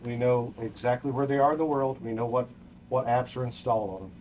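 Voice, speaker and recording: A man's voice: medium pitch, steady tone, fairly quick, a little mellow, lo-fi sound, a little hiss or hum. A man who works in cybersecurity, explaining operations matter-of-factly on a tour. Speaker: cybersecurity staffer.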